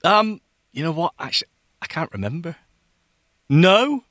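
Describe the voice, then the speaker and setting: A man's voice: fairly high pitch, very animated, a little slow, fairly bright tone, no echo, clean studio sound. A man hosting casually, reacting and joking as he gives commands in a voice-controlled game. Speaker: podcast host playing a game.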